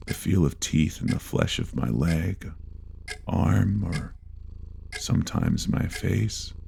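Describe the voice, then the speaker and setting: A man reading a first-person horror story aloud in a slow, low and grave delivery. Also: vocal fry, a little mellow, slight background noise. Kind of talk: scripted horror narration.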